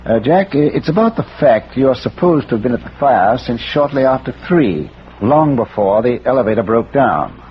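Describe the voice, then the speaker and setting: A noisy, muffled vintage radio recording carries a man acting a bookish gentleman detective. He speaks at a medium pitch, courteous and hesitant, probing politely like a learned amateur sleuth in a 1940s mystery. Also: big pitch swings, normal speed, natural voice. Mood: probing, measured. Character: erudite, courteous amateur sleuth who quotes Shakespeare.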